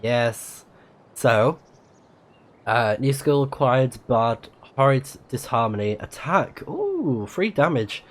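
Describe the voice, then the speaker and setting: A man's voice: mid-range voice, big pitch swings, takes it slowly. A man giving casual, chatty and reactive let's play commentary as a game streamer.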